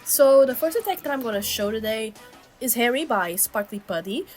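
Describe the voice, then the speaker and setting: A woman's voice: fairly high pitch, expressive, normal speed. A woman with a slightly sick voice giving casual, informal co-host commentary on drawings, chatty and full of "like" asides. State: sick, still under the weather. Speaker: co-host, digital artist. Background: background music.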